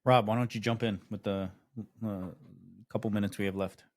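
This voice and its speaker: Man, podcast host, easygoing, reflective, low voice, dry sound. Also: fairly even intonation, moderate pace, no room sound. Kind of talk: casual discussion.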